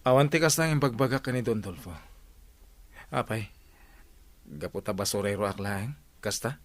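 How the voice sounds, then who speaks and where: A man's voice: on the low side, moderate pace, dry recording, close to an everyday voice. A man playing a stubborn, aggrieved working villager who complains emphatically in a comedy radio drama. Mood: indignant.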